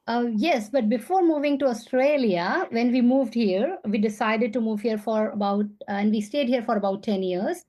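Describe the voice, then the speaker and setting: A woman's voice: a little high, dark tone. A woman from India, a podcast guest, telling her story in earnest, casual interview talk.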